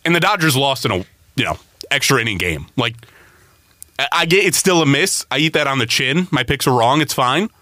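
A young man co-hosts a sports podcast in a bright, forceful voice, ribbing his friend and jumping up in pitch when a story excites him. He speaks close to a dry mic with no room around him. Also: fairly quick, no room sound. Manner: teasing, animated, jumps up in pitch when excited. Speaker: podcast co-host. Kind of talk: casual sports podcast chat.

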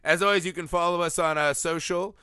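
Bright, crisp-voiced podcast host with sniffles. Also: male voice, fairly high pitch, brisk, no echo, studio quality. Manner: animated, playful, teasing. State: sniffly from a cold. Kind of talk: casual podcast chat. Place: Los Angeles area.

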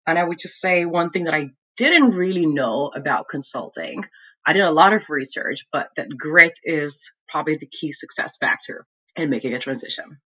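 A woman's voice: sits low, animated, moderate pace, sharp tone, breathy edge, rough recording. A woman from Lithuania with a Lithuanian accent, answering interview questions thoughtfully with many fillers like "um" and "like". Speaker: guest, MBA graduate and consultant.

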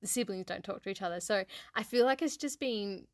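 An outgoing, loud woman whose was Hungarian, co-hosting a casual podcast chat and laughing often. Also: medium pitch, fairly quick, no room sound, studio quality. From Australia. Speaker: podcast co-host.

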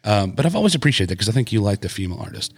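A man hosting a casual podcast, enthusiastic and quick to cut in with praise. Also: fairly low pitch, very animated, husky, dry recording. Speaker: podcast host.

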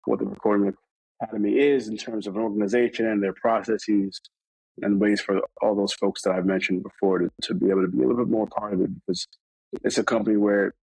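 Man, from New York, interview guest, husky, dry close recording, hesitant rambling delivery. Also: on the low side, darkish tone, dry recording, poor audio. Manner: rambling, many fillers and restarts. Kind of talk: casual conversational interview.

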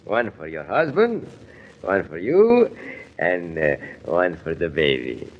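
An actor playing a generous, effusive fruit seller with simple old-world phrasing in an old-time radio drama. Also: male voice, low voice, big pitch swings, takes it slowly, exaggerated voice. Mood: generous, tender. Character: kindly, generous male fruit seller, eager to please. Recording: noisy, dull old radio recording.

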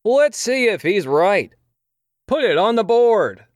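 Showboating villain game show host, swooping high. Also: male voice, big pitch swings, full character voice. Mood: gleeful, teasing. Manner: drawn-out, teasing showman delivery. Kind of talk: theatrical game show patter in audio drama.

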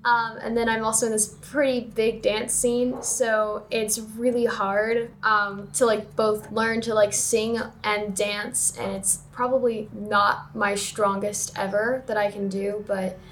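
A young woman, a student actor, talking hesitantly in a casual chat and often pausing on "like" and "um". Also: mid-range voice, little pitch movement, a little hiss or hum. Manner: hesitant, full of "like" and "um".

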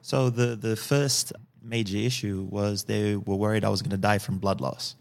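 A man in his early forties from Fiji, calmly telling his life story as a podcast guest and often ending sentences with "yeah?". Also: on the low side, moderate pace, gravelly. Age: early 40s. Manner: calm, tags sentences with "yeah?" and "right?". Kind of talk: personal storytelling in a podcast interview.

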